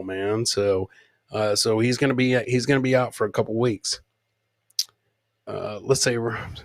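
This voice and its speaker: A man's voice: medium pitch, no room sound, studio quality. A man hosting a comedy podcast, chatting casually and bantering with viewers in informal, profane language. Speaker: podcast host. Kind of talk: casual comedic livestream chat.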